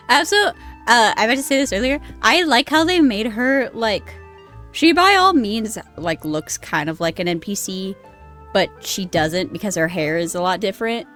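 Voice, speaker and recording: A young woman streamer giving casual let's-play commentary, excitable, laughing often and swearing freely. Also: mid-range voice.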